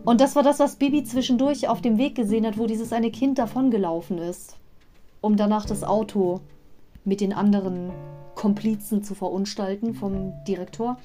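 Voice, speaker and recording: A woman's voice: medium pitch, moderate pace, dark tone, soft background music. A woman hosting a podcast, casually retelling a story with chatty, digressive commentary. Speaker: podcast host.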